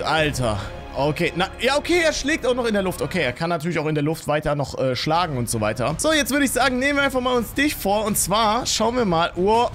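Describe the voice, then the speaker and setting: A man giving excited, exclamatory let's play commentary, talking to viewers and his game character, close on a studio microphone in a small home room. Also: on the high side. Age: 20s. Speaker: gaming YouTuber. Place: small home streaming room with acoustic foam, indoors. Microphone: studio microphone on boom arm, close.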